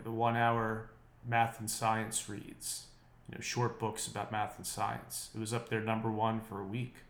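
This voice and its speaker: A man's voice: lowish voice, echoey room. A man hosting his own podcast, talking through the news in a wry, opinionated, rambling way, his voice a bit nasal and congested. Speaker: podcast host. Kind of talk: casual solo podcast monologue. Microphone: unprocessed podcast mic.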